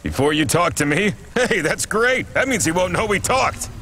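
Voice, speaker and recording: An actor playing a jittery, evasive and irritable informant in a video game. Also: male voice, high-pitched, lively intonation, moderate pace, bright, forceful tone, a little hiss or hum, heavily acted. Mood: nervous, annoyed.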